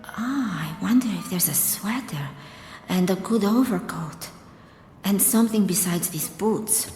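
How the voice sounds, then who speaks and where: Starving, suspicious woman soldier for radio drama; slow, halting delivery in echoing reverb. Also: mid-range voice, lively intonation, darkish tone, faint music behind. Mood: wry, wistful. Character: wary, starving enemy woman soldier in a ruined world. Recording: roomy echo with long reverb.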